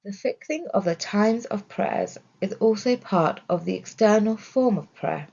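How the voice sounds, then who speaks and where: A woman's voice: medium pitch, animated, unhurried, creaky, dark tone, husky. A woman reading a scripted religious study as narration, in a dull, muffled recording. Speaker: reader of religious study text.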